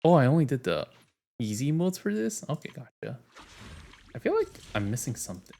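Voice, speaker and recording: A man streaming a game, reading its story aloud and chatting in a relaxed way, often trailing off. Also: medium pitch, a little fast, vocal fry.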